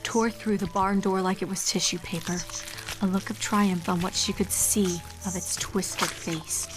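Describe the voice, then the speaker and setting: A woman's voice: medium pitch, fairly even intonation, a little slow, over music, rough recording. A woman giving a dramatic scripted narration of a horror story.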